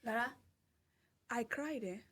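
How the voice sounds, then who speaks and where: Breathy Nigerian woman telling her story. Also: a little high, slow pace, fairly bright tone. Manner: emphatic, repeats phrases, laughs through story. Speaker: podcast guest. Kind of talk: storytelling in casual interview.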